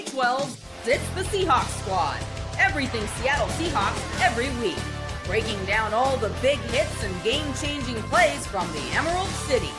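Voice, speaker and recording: A woman voicing a scripted show intro with punchy, hyped-up delivery. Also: high-pitched. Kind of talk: scripted promo voice-over. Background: loud music.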